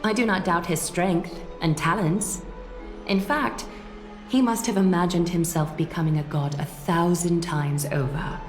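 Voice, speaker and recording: A woman's voice: fairly low pitch, measured pace, natural voice. An actress playing a regal, composed leader, stately and formal yet motherly, in a video game drama. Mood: measured, probing. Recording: echoey, over background music.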